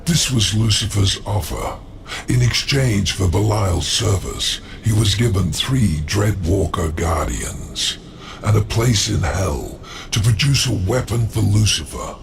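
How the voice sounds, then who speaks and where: Game villain: sly demon lord, very low and gravelly, formal, measured, calculating menace. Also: male voice, expressive, a little hiss or hum. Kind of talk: dramatic video game cutscene acting.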